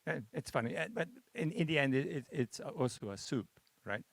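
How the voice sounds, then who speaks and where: A man from Hungary, a Hungarian speaker, making halting remarks in a masterclass discussion, full of restarts and fillers. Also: mid-range voice, lively intonation, gravelly, dry recording. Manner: hesitant, many restarts and fillers. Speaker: masterclass participant.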